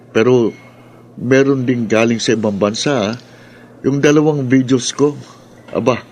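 A man's voice: medium pitch, a little mellow, slight background noise, lightly acted. An actor with a Filipino accent playing a young man, a grumbling buddy who works as an online creator, in a radio drama. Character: aggrieved male buddy, a struggling online content creator.

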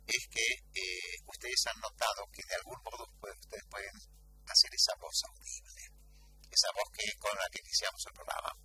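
A middle-aged Argentine man with a Rioplatense accent hosts from a radio studio. His voice is rough and very forceful, with big swings in intonation. He speaks reflectively, gathering up ideas as he goes. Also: on the low side, wildly swooping pitch, moderate pace, rough recording. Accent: Rioplatense Argentine Spanish. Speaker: radio host. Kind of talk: conversational radio hosting. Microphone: studio microphone.

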